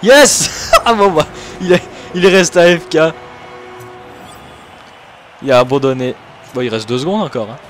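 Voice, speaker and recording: A young man from Switzerland, commentating his own gameplay excitedly and laughing often. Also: fairly high pitch, normal speed, pauses often, faint music behind. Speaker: gaming YouTuber.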